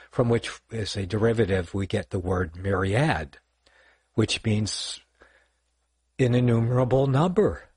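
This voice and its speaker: Older man, deep voice, slightly husky, rambling livestream Bible talk, dry close sound. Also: dry recording. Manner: rambling, self-correcting, lots of fillers. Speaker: online Bible teacher. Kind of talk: casual livestream teaching.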